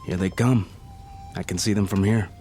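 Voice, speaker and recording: An actor playing a gentle, fatalistic condemned prisoner in a Western radio drama. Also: male voice, low voice, steady tone, normal speed, faint music behind, natural voice. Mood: bitter. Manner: soft, fatalistic, philosophical. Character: resigned, gentle Mexican prisoner awaiting hanging.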